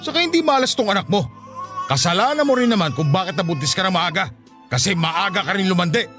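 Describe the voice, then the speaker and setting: A man's voice: high voice, animated, fast talker. A man playing a stern grandfather in a radio drama, admonishing his family. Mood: indignant. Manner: admonishing, indignant. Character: stern, scolding grandfather, tender to the baby. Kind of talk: Tagalog radio drama acting. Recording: loud music under the voice.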